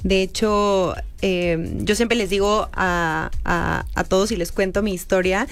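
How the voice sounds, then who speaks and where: A young woman born in San Diego and raised Mexican, a perfume creator answering interview questions earnestly, with many pauses and fillers. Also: mid-range voice, steady tone, clear, resonant tone. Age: young adult.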